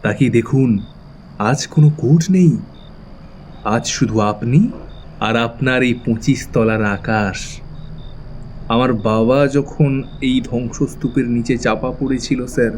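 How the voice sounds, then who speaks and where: An actor playing a coolly menacing young avenger, deliberate and mock-polite, in an audio thriller. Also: male voice, medium pitch, darkish tone, a little hiss or hum, close to an everyday voice. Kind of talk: dramatic audio thriller acting.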